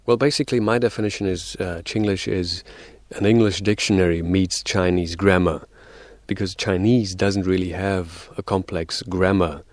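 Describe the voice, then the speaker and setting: A man's voice: low voice, steady tone, normal speed, no room sound. A man as a guest on a radio interview, explaining at length with frequent fillers and restarts. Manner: hesitant, many restarts and fillers; explains in numbered theories.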